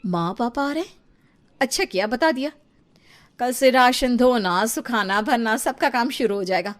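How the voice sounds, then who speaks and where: A woman's voice: on the high side, lively intonation, moderate pace, close to an everyday voice. A woman voicing a bossy, boastful elder daughter-in-law with a haughty manner in a comic story. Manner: haughty, ordering others about.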